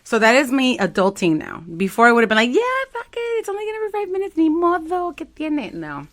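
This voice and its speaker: A woman in her forties co-hosting a casual chat show, animated and playful, jumping into high quoted voices. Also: fast talker. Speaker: podcast co-host.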